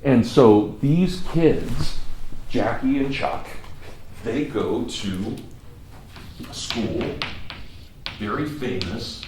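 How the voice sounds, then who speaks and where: A man's voice: mid-range voice, extremely slow, long pauses. Man lecturing in an echoey classroom. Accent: Kansas. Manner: animated storytelling, repeats words for emphasis. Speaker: college professor. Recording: echoey classroom from far off, faint noise.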